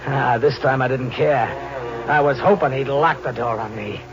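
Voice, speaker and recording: An actor playing a hunted, vengeful drifter in an old radio thriller, talking in tough slang with melodramatic, haunted delivery. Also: male voice, mid-range voice, moderate pace, crowd noise, natural voice. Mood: grim, wary. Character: hunted, desperate, vengeful male drifter on the run. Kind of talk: 1940s radio thriller acting with first-person narration. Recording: noisy, muffled old radio recording with hum.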